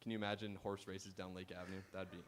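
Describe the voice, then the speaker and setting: A man's voice: sits low, level tone, quick pace. A man hosting a live game show on stage, bantering playfully and reading announcements to the audience. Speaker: quiz show host. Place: auditorium stage.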